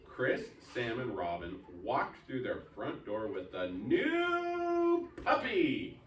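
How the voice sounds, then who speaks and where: A man's voice: mid-range voice, huge pitch swings, slow. A man leading a readers theatre reading lesson as teacher, prompting each reader in turn with informal coaching. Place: indoor room with children reading aloud. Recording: roomy echo, distant mic, faint noise.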